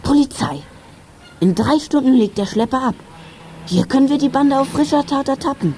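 A woman's voice: sits high, expressive, normal speed, mellow, a little hiss or hum. A woman playing a plucky, practical young child detective in a children's radio play. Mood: excited.

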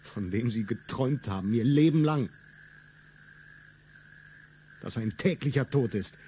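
A man's voice: mid-range voice, animated, normal speed, warm tone, a little hiss or hum, natural voice. A man playing a fierce, declamatory revolutionary. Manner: solemn, declamatory delivery. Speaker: radio drama actor. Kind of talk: stage-like radio play acting.

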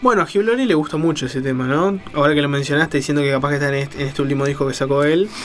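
A man's voice: a little high, rapid-fire. A man co-hosting a casual radio chat about music. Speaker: radio co-host.

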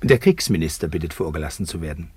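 An actor in a German radio play as a deferential servant of a Roman emperor, making formal announcements. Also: male voice, very deep voice, expressive, quick pace, darkish tone, slightly rough, no room sound, exaggerated voice. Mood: apologetic, formal.

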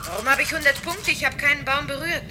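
A woman's voice: high voice, fast talker, background noise, lightly acted. An actress playing a curious, spirited yet wary female companion in a German radio play. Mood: indignant, competitive.

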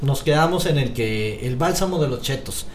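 A man's voice: medium pitch, animated, normal speed, slight background noise. A man co-hosting a casual comedy podcast, running through a list of topics with jokes. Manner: reads out list items, jokey. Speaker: podcast co-host.

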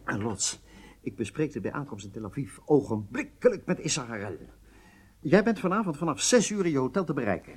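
An actor playing an agitated, businesslike intelligence handler in a radio drama, with halting delivery and emphatic outbursts. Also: male voice, mid-range voice, big pitch swings, darkish tone, slightly rough. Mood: stern, upset.

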